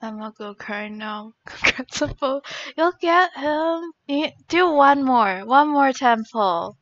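A woman's voice: high voice, lively intonation, takes it slowly, fairly bright tone, a little hiss or hum. A young woman live-streaming a game in casual, reactive chat, swearing casually, on slightly dull lo-fi audio.

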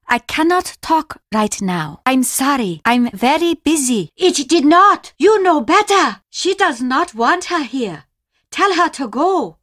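An actress playing a strict, formal Japanese woman teacher in a video game, measured and precise in her speech. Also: high-pitched, no echo, clean studio sound, full character voice. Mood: urgent, insistent. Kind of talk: scripted game dialogue.

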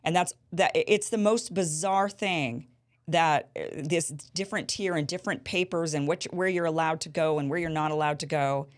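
A woman in her thirties hosting a political interview show, telling stories with animated gestures in a small studio. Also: low voice, moderate pace. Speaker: host. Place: small studio with a bright backdrop. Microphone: studio mic on a boom.

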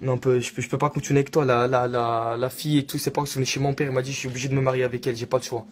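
A man's voice: mid-range voice, level tone, very fast, noisy background. A young man talking casually and theatrically, in slang, in a prank call vlog, recorded on a phone at arm's length in a small room. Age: young adult. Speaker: online prankster.

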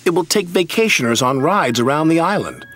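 A man's voice: on the high side, big pitch swings, brisk, fairly bright tone, voice pushed up. A man voicing an eager, earnest young steam engine in a children's storybook. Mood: proud. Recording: music behind the voice.

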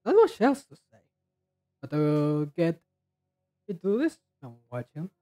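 A man chatting casually and haltingly as he hosts a reaction video, rambling in a low-key way. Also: big pitch swings, mellow. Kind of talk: casual chat, reacting to game trailers.

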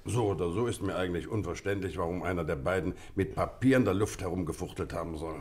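A hearty old-soldier colonel in a detective radio play. The male voice sits fairly low. He talks bluntly and emphatically, with the jovial authority of a country host. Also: quick pace, a little mellow, husky. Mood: attentive. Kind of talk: German radio play acting.

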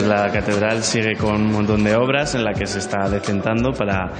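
A man's voice: lowish voice, fairly even intonation, brisk, music in the background. A man answering interview questions in an explanatory way as an art restorer.

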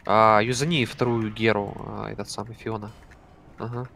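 A man with a lowish voice barks quick raid orders into voice chat, swearing now and then. His calls are brisk and commanding. A faint noise sits under the line. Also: little pitch movement, vocal fry, a bit bright. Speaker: raid leader. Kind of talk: calling raid instructions over voice chat.

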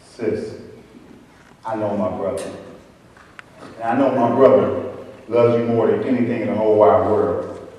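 A man's voice: fairly low pitch, fairly even intonation, a little slow, pauses often, muffled recording, lightly acted. A man on stage playing an earnest, casual brother who pleads in slangy, stammering phrases. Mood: coaxing. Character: earnest, casual brother trying to smooth things over. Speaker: stage actor. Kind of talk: live stage play acting. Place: stage in an indoor theatre hall. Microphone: far camera. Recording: echoey theatre hall, distant mic, faint noise.

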